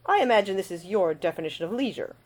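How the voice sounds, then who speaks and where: A woman plays a roguish gentleman of leisure in an audiobook. He is suave and cheerfully teasing, with formal phrasing and an amused drawl. The intonation swings in a lively way. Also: medium pitch, fast talker, close to an everyday voice. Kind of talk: audiobook character dialogue.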